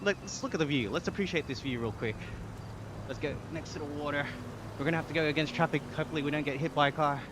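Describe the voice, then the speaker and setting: A man's voice: a little high, fast talker. A young Australian man streaming live while riding an exercise bike, casually chatting, reading out viewer comments and often laughing. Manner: upbeat, laughs often, thanks viewers warmly. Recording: game sounds and traffic noise behind.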